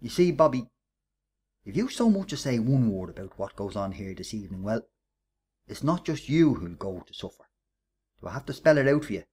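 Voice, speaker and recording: Male actor as bullying Irish ringleader, husky and swooping in pitch, sarcastic, menacing, radio drama. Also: sing-song, brisk, pauses often, a little mellow. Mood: sly, menacing. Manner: taunting, sarcastic, bossy. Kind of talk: radio play acting.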